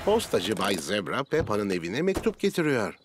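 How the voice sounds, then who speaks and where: A man's voice: fairly low pitch, huge pitch swings, moderate pace. A man reading scripted narration for a children's cartoon.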